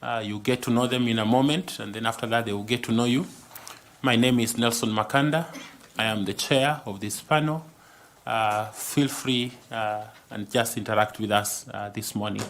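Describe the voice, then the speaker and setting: A man chairing a selection panel, welcoming a candidate in a courteous, deliberate and formal way. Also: mid-range voice, fairly even intonation, fairly bright tone, a little hiss or hum. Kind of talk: formal panel interview opening. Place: interview room. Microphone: table microphone.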